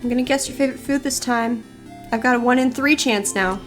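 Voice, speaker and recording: A woman's voice: on the high side, brisk. A woman doing let's play commentary and reading a video game's narration aloud. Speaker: streamer playing and voicing a video game. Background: faint game music.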